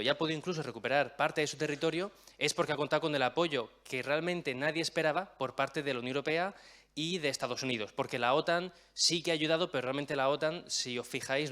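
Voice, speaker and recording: A man's voice: medium pitch, a bit bright. A young man from Murcia who has lost his regional accent, giving a chatty explanatory public talk to an audience. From Murcia, Spain. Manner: chatty, explanatory, self-deprecating asides. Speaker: guest speaker.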